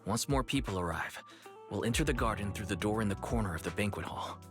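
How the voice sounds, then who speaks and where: An actor playing a calm, practical young man who talks in an even, matter-of-fact way, in game audio with music behind. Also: lowish voice, fast.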